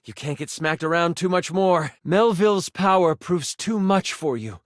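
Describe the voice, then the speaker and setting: A man playing an eager game-master character, narrating a tabletop fantasy adventure with dramatic, expressive delivery. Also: high voice, moderate pace, no room sound, studio quality, lightly acted. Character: animated game-master character, eager storyteller, a living die.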